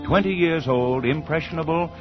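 Dramatic, high-pitched male radio announcer. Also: moderate pace. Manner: formal, dramatic, declamatory. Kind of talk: 1940s radio announcing, dramatic scripted narration. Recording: muffled 1940s broadcast with loud music.

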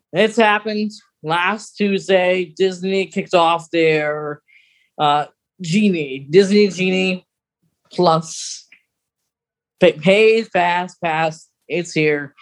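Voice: mid-range voice, fairly even intonation, extremely slow, pauses often. A podcast co-host chatting casually about fan news in a wry, conversational way.

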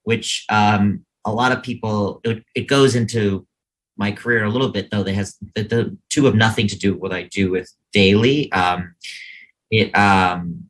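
A man with a deep, low voice talks loosely as an interview guest. He rambles, circles back and fills pauses with ums. He is speaking from an office. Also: steady tone, a bit bright, rough recording. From New York. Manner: rambling, many ums and restarts. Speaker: guest, media sales executive. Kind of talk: casual interview chat.

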